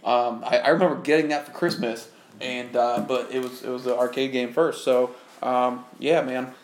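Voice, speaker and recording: A man's voice: mid-range voice, moderate pace, roomy sound. A man with a childlike voice co-hosting a podcast, chatting casually and rambling through his stories with lots of restarts. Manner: rambling, self-interrupting, animated storytelling. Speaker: podcast co-host. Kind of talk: casual chat.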